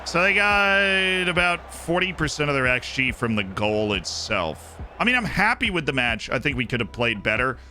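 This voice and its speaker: A man streaming a football management game, commenting excitedly on matches and chatting directly with his viewers. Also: mid-range voice, moderate pace, hardly any pauses, a little hiss or hum. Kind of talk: casual live-stream commentary to chat.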